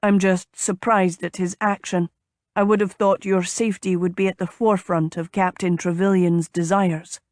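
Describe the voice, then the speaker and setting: A woman voicing a courteous, gallant gentleman suitor in an audiobook, measured and formal in manner. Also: lowish voice, little pitch movement, no room sound, studio quality, lightly acted. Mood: surprised. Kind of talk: audiobook character dialogue.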